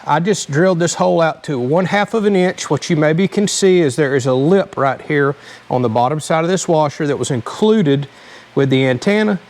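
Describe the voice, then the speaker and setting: Male DIY host, voice a little on the high side, casual and explanatory, plain-spoken tutorial delivery. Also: moderate pace, darkish tone. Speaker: host of a DIY vehicle channel. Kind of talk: casual hands-on tutorial narration.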